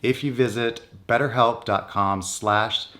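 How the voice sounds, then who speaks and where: A man's voice: medium pitch, fairly even intonation, a little slow, noisy background. A North American man vlogging in a casual, candid and conversational way about his own life. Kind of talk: casual personal vlog talk.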